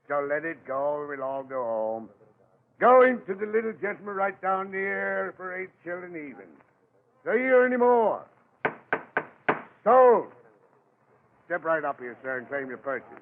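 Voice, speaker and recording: An actor playing a pompous, wheedling auctioneer in an old-time radio horror drama, with theatrical salesman patter. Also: fairly high pitch. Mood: exasperated, coaxing. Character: wheedling, pompous English auctioneer hiding sinister knowledge. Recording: muffled, narrow-band vintage radio recording.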